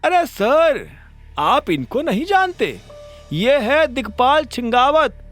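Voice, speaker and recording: A man's voice: very animated, slow pace, fairly bright tone, soft background music, heavily acted. A man voicing an eager, talkative male cab driver in an audio story. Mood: excited. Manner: eager, talkative, pitched high.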